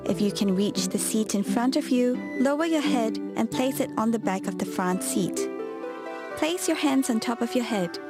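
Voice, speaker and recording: Loud music plays behind a woman's fairly high voice. She reads scripted safety instructions as the narrator of an airline video. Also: animated, normal speed.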